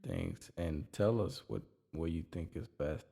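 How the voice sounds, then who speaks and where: A man's voice: low-pitched, unhurried, vocal fry, warm tone. A man with a Puerto Rican Spanish accent co-hosting a podcast, chatting casually and rambling, often repeating words as he restarts. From Puerto Rico. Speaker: podcast co-host. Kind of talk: casual chat.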